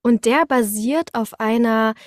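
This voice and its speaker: A young woman chatting casually as a guest, telling anecdotes in a lively, slangy way. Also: a little high, a little slow, no room sound, studio quality. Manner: animated storytelling with slangy, colloquial wording. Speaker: guest, voice actress.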